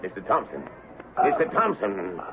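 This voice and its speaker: An actor playing a stern but reluctant sheriff in a Western radio drama, speaking in a firm, folksy, drawn-out way. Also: male voice, deep voice, wildly swooping pitch, creaky, heavily acted. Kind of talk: 1970s radio drama acting. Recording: dull, noisy vintage radio recording.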